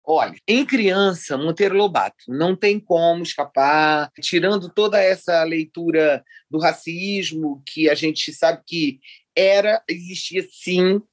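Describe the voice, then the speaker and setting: A woman's voice: fairly low pitch, measured pace. A woman in her sixties from Rio de Janeiro, a writer chatting as a guest in an interview, talking in long digressions and laughing often. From Rio de Janeiro, Brazil. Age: 60s. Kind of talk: casual interview chat.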